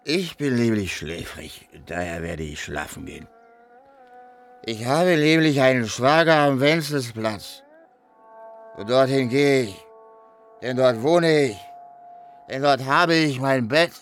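An actor playing a drunken, sleepy, confused man who explains himself stubbornly in halting phrases, in a literary radio play. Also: medium pitch, animated, soft background music. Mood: sleepy, insistent. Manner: halting, speaks in separated phrases.

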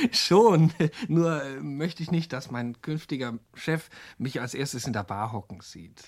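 An actor in a radio play as an evasive, smooth-talking young American impostor, polite and hesitant as he improvises excuses. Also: mid-range voice, lively intonation, slow. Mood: flustered, cheerful.

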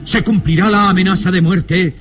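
A man's voice: high voice, steady tone, normal speed, a bit bright. A man narrating a radio serial in a dramatic, solemn, theatrical scripted style.

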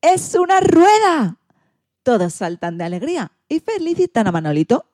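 A woman reading a children's storybook in an expressive, theatrical way. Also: high voice, normal speed, no echo, studio quality. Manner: theatrical, acts out sound effects and exclamations.

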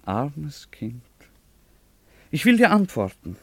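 An actor playing a worldly, sceptical but tender young gentleman lover in a classical radio drama, speaking in a measured theatrical way. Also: fairly high pitch, wildly swooping pitch, no echo, full character voice. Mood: compassionate, challenging.

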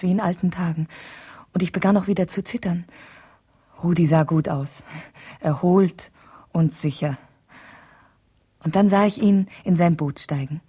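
A woman's voice: lowish voice, mellow, breathy edge. An actress playing a wife in a radio play, confiding and hesitant as she thinks aloud over an emotional letter. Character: wife writing an emotional letter to a trusted friend.

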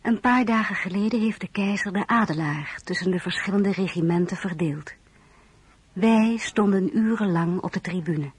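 An actress playing a composed, polite young marshal's wife in an audio drama, formal and restrained. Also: female voice, mid-range voice, moderate pace, husky, no echo. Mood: reflective. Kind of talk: audio drama narration and dialogue.

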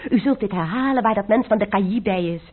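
Secretive young mademoiselle, played by an actress, urgent and commanding; husky, on an old lo-fi radio drama recording. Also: female voice, mid-range voice, quick pace, close to an everyday voice. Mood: teasing. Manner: urgent, gives precise orders.

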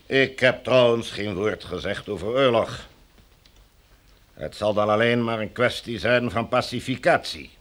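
An actor playing an officious, domineering commander in a Dutch radio drama, with a formal, firm, bureaucratic way of talking. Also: male voice, medium pitch, measured pace, a bit bright, lightly acted. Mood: calculating, authoritative.

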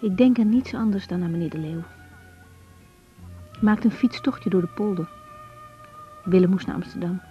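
A woman's voice: fairly low pitch, normal speed, long pauses, soft background music, close to an everyday voice. An actress playing a troubled, conscientious young woman doctor in a Dutch radio drama, speaking hesitantly. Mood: brooding, weary. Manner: hesitant, searching for words. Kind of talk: 1980s Dutch radio drama acting with spoken diary monologue. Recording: dull 1980s radio recording.

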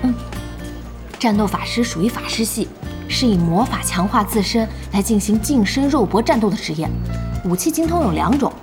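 A woman acting a brash, bossy young internet-cafe boss and game fangirl in an audio drama, blunt, animated and teasing. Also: medium pitch, moderate pace, lightly acted. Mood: enthusiastic. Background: music behind the voice.

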